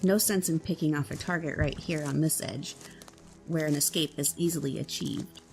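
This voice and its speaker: A woman's voice: deep voice, steady tone, moderate pace, creaky, a little mellow, faint music behind, low-quality recording. A woman playing a cautious, practical traveller in a fantasy audio drama, speaking in an earnest, analytical way. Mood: thoughtful.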